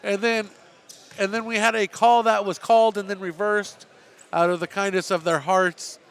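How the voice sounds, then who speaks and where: Chatty man calling a high school game. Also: little pitch movement, normal speed, fairly bright tone, clear, resonant tone. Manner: chatty, joking, frequent restarts. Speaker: play-by-play broadcaster. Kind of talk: sports commentary and postgame interview.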